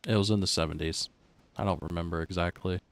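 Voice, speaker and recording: A man with a very deep voice chats loosely with friends while streaming a game. He rambles and restarts his sentences as he thinks, talking into a desk mic over voice chat. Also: fairly even intonation, fast talker, clear, resonant tone, no room sound. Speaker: streamer host. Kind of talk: casual chat while gaming.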